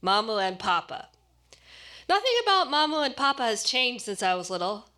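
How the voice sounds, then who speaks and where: A woman actor reading a short story aloud as expressive scripted narration. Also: fairly high pitch, moderate pace.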